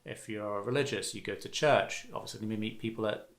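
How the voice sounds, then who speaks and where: Chatty English man, lowish voice. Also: brisk, creaky. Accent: English, with a slight Canadian twang. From England. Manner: friendly and chatty, with some fillers. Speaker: real estate broker. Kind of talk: casual to-camera advice video.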